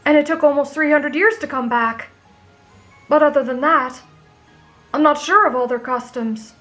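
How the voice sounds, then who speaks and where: A high, gentle teenage boy hero played by a woman from an anime audio roleplay. He is earnest, kind and naive, explaining things sincerely over faint music. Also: normal speed. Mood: kind, explanatory.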